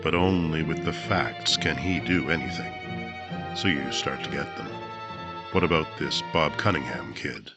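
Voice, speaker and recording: Guy acting as grave crime reporter narrating old radio drama, super deep, music under him. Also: normal speed. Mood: grave, measured. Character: grave newspaper reporter narrating his own case.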